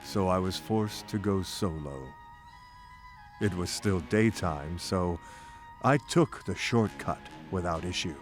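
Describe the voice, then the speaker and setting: A man's voice: low-pitched, slow pace. A man narrating a scripted first-person story in a theatrical, folksy, playful manner. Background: music bed.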